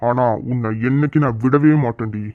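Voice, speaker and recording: A man plays a boastful village bully who keeps making threats, speaking at an ordinary middle pitch. The recording is dry and dull, with a lo-fi edge. He sounds sure that everyone fears him. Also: a little fast, no echo, lightly acted. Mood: menacing.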